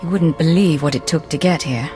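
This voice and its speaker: An actress playing a composed imperial spy with a dry, level delivery. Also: female voice, deep voice, a little fast, mellow, faint music behind, lightly acted. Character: cool female imperial spy.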